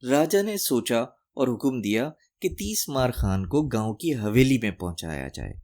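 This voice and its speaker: A man's voice: medium pitch, sing-song, unhurried, a little mellow. A man narrating a scripted folk tale for children as a storyteller.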